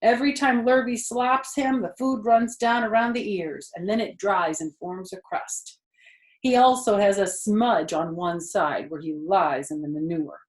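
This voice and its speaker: A woman's voice: mid-range voice, steady tone, unhurried, echoey room, lightly acted, low-quality recording. A woman voicing a determined, fussy farm wife in a storybook, emphatic and insistent.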